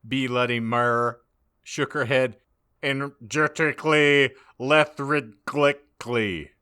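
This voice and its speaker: A man's voice: medium pitch, fairly even intonation, slow pace, bright, forceful tone, smooth. A man reading a story aloud as a podcast host, theatrical and mock-dramatic with heavy emphasis. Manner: theatrical, heavily emphatic, swinging in volume. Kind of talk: mock-dramatic comedic reading of fan fiction.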